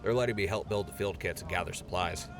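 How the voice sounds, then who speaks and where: A man's voice: deep voice, fast, loud music behind the voice. A man playing a nervous, earnest young infantry soldier in an audio drama, shy and hesitant.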